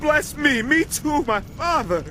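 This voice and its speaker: An actor playing a wronged elder son, crying out bitterly and passionately in a Bible audio drama. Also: male voice, extremely high pitch, expressive, slow, over a noisy crowd, full character voice. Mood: anguished. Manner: impassioned, bitter.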